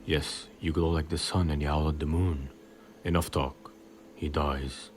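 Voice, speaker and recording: A man's voice: extremely low pitch, fairly even intonation, a little slow, a little hiss or hum. A man playing a bitter, vengeful escaped prisoner wizard in an audio drama, brooding and menacing in a theatrical way. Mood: impatient, vengeful. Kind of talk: fan audio drama acting.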